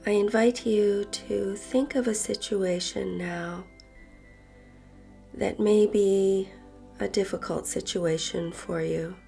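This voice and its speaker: A woman leading a guided meditation in a soft voice that turns breathy at phrase ends, gentle and unhurried with long pauses. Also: mid-range voice, steady tone. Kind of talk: guided meditation, slow and soothing narration. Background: soft background music.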